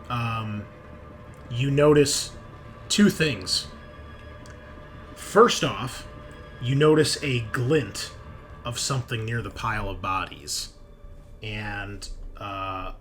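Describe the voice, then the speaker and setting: A man narrating a tabletop horror game as game master, improvising in halting, suspenseful phrases. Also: on the low side, a bit bright.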